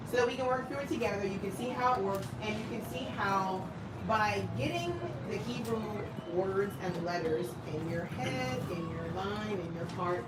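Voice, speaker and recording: A woman leading a casual women's study group, chatty and laughing often. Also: mid-range voice. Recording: roomy, distant, loud background noise.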